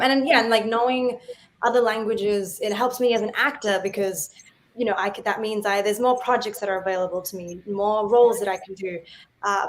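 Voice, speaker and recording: A woman actor whose first language is Gujarati, raised in Australia, answering interview questions in a thoughtful, measured way. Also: medium pitch, a little hiss or hum.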